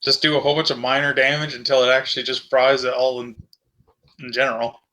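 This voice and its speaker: A man's voice: medium pitch, level tone, fast talker, pushed and bright, poor audio. A man playing a tabletop game, bantering casually in a blunt, sweary way.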